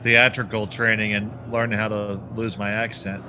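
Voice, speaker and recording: A man's voice: lowish voice, level tone, normal speed, pushed and bright, a little hiss or hum. A man with a Philadelphia accent calling a curling match as play-by-play commentator, bantering playfully with his partner. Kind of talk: sports commentary.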